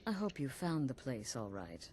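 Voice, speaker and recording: An actress voicing a cool-headed female leader of an assassin guild in a video game, speaking softly and slipping into whispers. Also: extremely low pitch, sing-song, moderate pace, a little hiss or hum. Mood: smooth, welcoming. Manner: soft, intimate, dropping to whispers.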